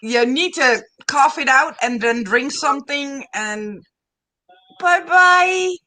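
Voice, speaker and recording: A woman with a fairly high, bright, forward voice chats casually as a livestream co-host. She is speaking over a video call. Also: lively intonation, takes it slowly. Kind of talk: casual chat.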